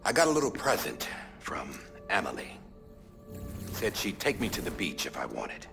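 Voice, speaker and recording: An actor playing a guarded, cynical director leaving a secret message, deliberate and wry, in a video game cutscene. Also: male voice, low voice, wildly swooping pitch, creaky, over music, exaggerated voice.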